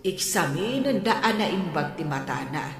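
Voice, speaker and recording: A woman plays a concerned, patient elder sister in an Ilocano audio drama. Her voice is notably low. Long reverb surrounds it, with music behind. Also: expressive, measured pace. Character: patient, concerned older sister discussing family matters. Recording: echoey hall reverb, music bed.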